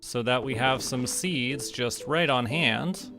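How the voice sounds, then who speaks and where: A man from Canada doing casual gameplay commentary, thinking aloud to himself in short, unhurried remarks. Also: mid-range voice. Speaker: livestreamer playing a farming game. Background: game music.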